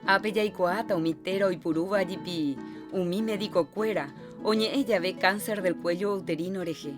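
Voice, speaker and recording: A woman's voice: medium pitch, normal speed. A woman with a Paraguayan accent giving scripted, didactic health education as a radio presenter. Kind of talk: scripted educational narration in Jopara. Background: guitar music.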